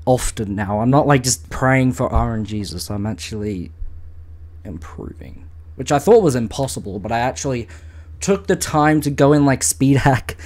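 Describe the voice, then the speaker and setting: A man's voice: mid-range voice, a little mellow. Chill gamer dude on voice chat, jokey, cusses when he dies. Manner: laid-back, laughs a lot, swears when he dies. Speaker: gamer streaming with friends on voice chat. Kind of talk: casual gaming chat.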